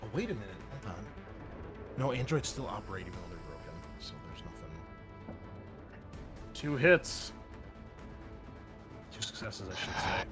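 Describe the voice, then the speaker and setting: A man's voice: deep voice, very animated, unhurried, long pauses. A man running a tabletop roleplaying game as game master, improvising action and rulings in a casual, profane way, thinking aloud. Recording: loud music behind the voice. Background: music.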